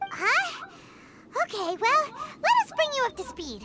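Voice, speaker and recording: A woman voicing a small, childlike, chatty fairy companion in a video game, with a squeaky, expressive delivery. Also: unhurried, exaggerated voice. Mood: eager. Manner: squeaky, expressive, refers to herself in third person. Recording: loud music behind the voice. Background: music.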